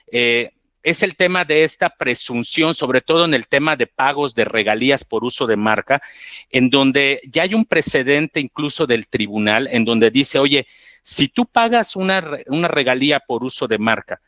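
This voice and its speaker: A man's voice: medium pitch, a bit bright, dry recording. A man with a Mexican accent explaining technical material in a professional webinar, in a didactic way. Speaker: webinar presenter, transfer pricing specialist.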